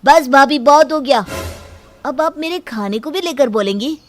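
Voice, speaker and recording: Woman doing a fed up younger brother, proud and indignant, really high voice, story style. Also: lively intonation, moderate pace, faint music behind. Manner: indignant, firm.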